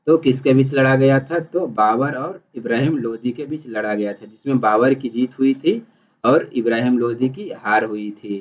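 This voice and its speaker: A man teaching exam prep, reading multiple-choice questions and explaining the answers in an instructional, repetitive way. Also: mid-range voice. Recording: dull, muffled lo-fi audio.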